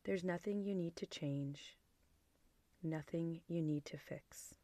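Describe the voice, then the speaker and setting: Female meditation guide: mellow, unhurried, soothing delivery, soft near-whispered endings. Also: on the low side, no echo. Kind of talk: guided body scan meditation.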